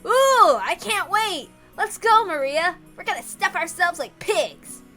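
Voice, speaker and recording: Woman as a loud, boisterous young man. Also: very high-pitched, expressive, measured pace, faint music behind, voice pushed up, full character voice. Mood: eager. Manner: loud, casual, hearty. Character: boisterous, joking, food-loving young man.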